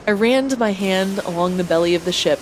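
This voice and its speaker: A woman's voice: medium pitch, a little fast, a little hiss or hum, poor audio. A woman narrating a first-person audio drama expressively, acting out her own lines as she goes. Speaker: author and narrator of an audio story.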